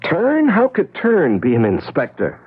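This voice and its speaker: An actor playing an incredulous, defensive fleet admiral in a science fiction radio drama. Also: male voice, fairly high pitch, huge pitch swings, a little slow, slightly rough, exaggerated voice. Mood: doubting, defensive. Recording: dull old radio recording.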